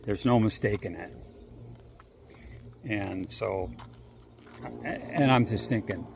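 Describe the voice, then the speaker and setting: A man vlogging casually while walking outdoors, rambling and swearing freely, with long pauses. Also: fairly low pitch, vocal fry, noisy background. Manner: rambling, profane, drawn-out pauses. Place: outdoors on a walk. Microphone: handheld phone.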